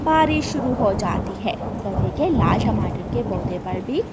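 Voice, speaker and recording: A woman's voice: mid-range voice, animated, unhurried, heavy background noise. A woman narrating a scripted children's story.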